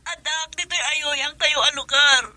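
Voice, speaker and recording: A woman's voice: high voice, steady tone, unhurried, pushed and bright, dry recording, exaggerated voice. A woman playing a distressed, tearful wife and mother working abroad in an Ilocano radio drama. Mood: troubled, despairing. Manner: tearful, pleading.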